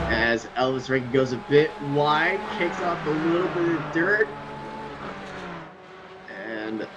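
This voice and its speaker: Man, sim race commentator, energetic play-by-play, loud background noise. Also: mid-range voice.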